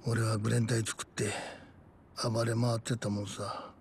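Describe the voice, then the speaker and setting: An actor playing a world-weary man who narrates his rough gang youth, reflective and rough-spoken, in a dramatic game. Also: on the low side, little pitch movement, moderate pace, a little mellow, slight background noise, lightly acted. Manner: rough masculine speech, reflective. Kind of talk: dramatic first-person game narration in Japanese.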